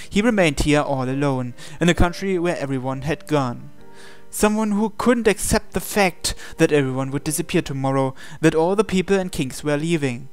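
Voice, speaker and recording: Higher-voiced man reading dramatic narration. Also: smooth. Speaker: visual novel reader.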